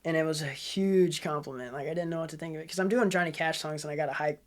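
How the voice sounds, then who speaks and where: A man's voice: on the high side, fast talker. A young man with a nasal voice, a guest musician in casual podcast chat, rambling with lots of fillers.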